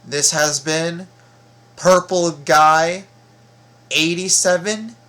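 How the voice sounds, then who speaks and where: A very tired man giving casual reaction commentary, halting and rambling as he repeats his words. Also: a little high, fairly even intonation, sharp tone. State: very tired, half asleep. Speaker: video host reacting to game voice lines.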